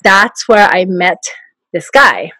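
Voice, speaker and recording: Japanese accent lady, middle aged, soft voice, laughs a lot, dry close sound. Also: fairly low pitch, lively intonation, slow pace, no room sound. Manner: soft-spoken, laughs often while talking. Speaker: guest, life coach. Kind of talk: podcast interview.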